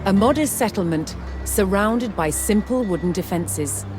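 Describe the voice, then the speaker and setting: A woman reads scripted historical narration for a game in a measured, dramatic storytelling manner, with deliberate pauses. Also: medium pitch, lively intonation. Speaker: documentary-style game narrator. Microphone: studio voice-over microphone. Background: music.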